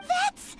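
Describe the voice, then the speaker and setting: A woman voicing a tiny, childlike, excitable and easily frightened fairy companion in a video game, squeaky and exclamatory. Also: a little hiss or hum, exaggerated voice. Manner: speaks of self in third person, exclamatory.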